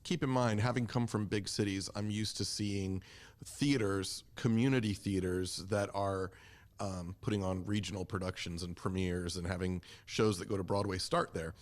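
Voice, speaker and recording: Male podcast guest, low-pitched voice, relaxed interview-style conversation. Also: moderate pace. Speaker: community theater member, podcast guest.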